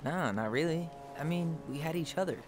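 A man's voice: a little high, lively intonation, fairly quick, background noise, natural voice. An actor playing a blunt, wry, guarded young man with an American accent in video game dialogue, talking casually. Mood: soft, reflective. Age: young adult.

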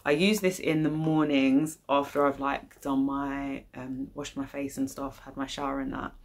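A woman's voice: rumbling low, flat delivery, moderate pace, noisy background. A young adult woman with a British accent, chatting casually and informally as she hosts her vlog. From United Kingdom. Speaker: YouTube channel host. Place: living room, indoors.

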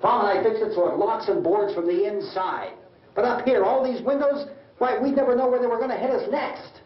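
A man's voice: high-pitched, fairly quick, natural voice. An actor playing a stubborn, combative, frightened middle-aged American family man in an old horror film, arguing insistently in a tense voice. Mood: agitated, insistent. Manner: argumentative, insistent, repeats himself. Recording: dull, echoey old film soundtrack, distant.

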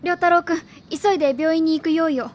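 Very high-voiced polite woman teacher played by an actress. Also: fairly even intonation, brisk, slight background noise. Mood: composed, urgent. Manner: courteous, careful. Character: polite, dutiful young woman teacher covering up. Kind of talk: Japanese radio drama acting.

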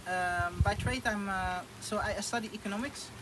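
A young man with a Dutch accent talks through his plans in a halting, filler-laden way. He sits in an outdoor thatched shelter, picked up by a camera a few meters off. Steady outdoor noise runs underneath. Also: high voice. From Netherlands. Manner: halting, many fillers and restarts. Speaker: guest. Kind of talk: casual interview.

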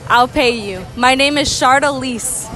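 A teenage girl with a high voice runs a casual street interview at a fun park at night. She talks into a phone held out to strangers, cheerful and repeating the same dare. Music and a bustling crowd are clearly heard behind her. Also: measured pace, low-quality recording. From Florida. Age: teens. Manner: upbeat, repeats the same challenge to each stranger. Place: outdoor amusement park at night. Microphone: handheld phone held toward speakers.

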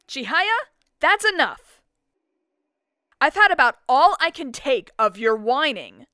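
Woman doing a tough anime game schoolgirl, high sharp voice, scolding, really animated. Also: dry recording, studio quality, full character voice. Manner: harsh, scolding. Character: tough, blunt, scornful teenage schoolgirl. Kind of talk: dramatic video game dub acting.

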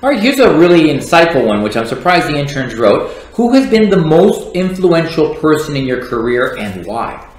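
A man's voice: a little high, sing-song, normal speed. A man in his forties, a litigation manager, reading out questions with teasing, wry asides. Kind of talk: scripted questions, joking chat. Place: office lounge with large windows. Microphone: tabletop condenser mic. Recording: very echoey room.

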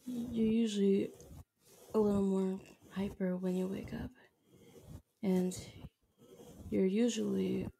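A woman plays a tender, doting girlfriend who gently takes charge in an ASMR roleplay. Her voice is soft, dark and whispery, with heavy creak, and she babies the listener at a very unhurried pace. It is spoken close to the microphone. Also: medium pitch, fairly even intonation, slight background noise. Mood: tender, reassuring. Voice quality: soft, breathy, often whispering. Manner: slow, gentle, babying. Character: tender, doting dominant girlfriend comforting her sick partner.

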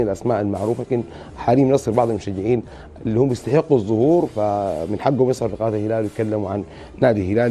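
A middle-aged man with a Sudanese Arabic accent hosting a studio sports interview, asking brisk, animated questions and backing the guest up with frequent murmurs. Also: on the low side. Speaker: TV sports show host.

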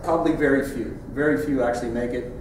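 A man's voice: mid-range voice, steady tone, fast, a little hiss or hum. A middle-aged man giving a conference keynote in a large lecture hall, in a conversational, wry way as he points at his slides. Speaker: keynote speaker. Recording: echoey hall from far off.

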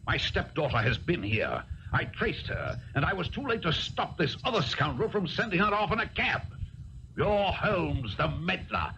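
An actor playing a menacing, bullying older doctor with a violent temper in a 1970s radio drama, blustering and threatening. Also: male voice, on the high side, very animated, normal speed. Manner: blustering, threatening, barking insults. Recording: dull old radio broadcast with hiss.

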